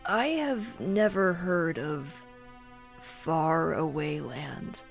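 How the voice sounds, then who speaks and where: An actress playing a distrustful, self-serious elven rebel leader in a fantasy audio drama, stern and curt. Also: female voice, low voice, extremely slow, gravelly, faint music behind, heavily acted. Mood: suspicious, stern.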